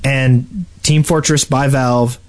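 A man with an average-pitched voice co-hosts a podcast. He talks in a casual, conversational style, as in an informal group chat. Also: steady tone, slow, a little mellow. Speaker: podcast co-host.

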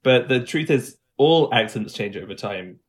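A man with an English accent and a mid-pitched voice talks casually as an interview guest. He works as a dialect coach and explains in an informal way, often giving examples. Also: lively intonation, moderate pace. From English Midlands.

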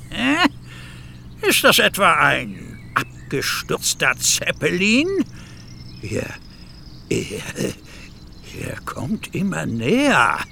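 A man voicing a boastful, jolly old steam locomotive in a children's audio drama, gravelly and blustery, with quaint old-fashioned wording. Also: a little high, background noise, full character voice. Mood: astonished. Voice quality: gravelly, creaky.

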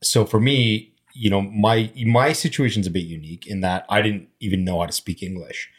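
Middle-aged man whose first languages are Armenian and Arabic, deep husky voice, relaxed reflective talk. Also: normal speed. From immigrant, grew up speaking Armenian and Arabic. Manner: reflective, storytelling, frequent fillers. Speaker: panel guest. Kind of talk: casual conversation.

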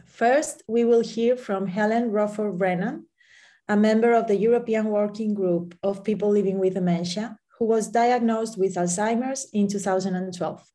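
A woman's voice: mid-range voice, normal speed, warm tone. Woman neurologist co-hosting. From Spain. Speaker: neurologist, co-host. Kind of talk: scripted podcast narration.

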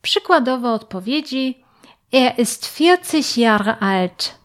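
A woman teaching German to learners, speaking clearly and patiently and pausing so the listener can repeat. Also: a little high, animated. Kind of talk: slow, clear language lesson with repetition drills.

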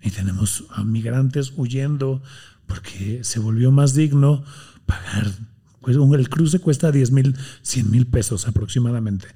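A man's voice: mid-range voice, breathy edge. A man from Mexico with a Mexican accent, talking passionately as a guest in a casual interview. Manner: passionate, fast and animated, acts out quotes. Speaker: guest, healthy food entrepreneur.

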